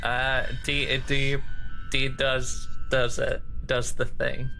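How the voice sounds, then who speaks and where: A man chatting casually as a player in a tabletop roleplaying game, often halting and stammering. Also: mid-range voice, monotone, creaky, pushed and bright, faint music behind.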